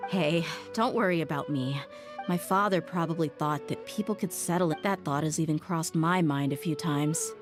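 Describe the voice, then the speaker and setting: A woman's voice: low voice, normal speed, over music, close to an everyday voice. An actress playing a tough, plainspoken, guarded young mercenary woman in a video game. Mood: consoling.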